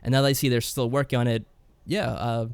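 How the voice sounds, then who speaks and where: A man co-hosting a podcast, discussing games casually in a measured, mild-mannered way. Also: medium pitch, flat delivery, clear, resonant tone, no room sound. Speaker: podcast co-host.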